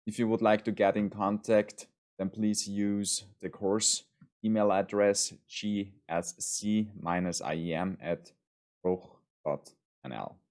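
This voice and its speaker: A man with an Austrian accent delivers a university lecture online. His voice sits very low, and he speaks in a measured, formal way with frequent ums and restarts. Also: steady tone, darkish tone.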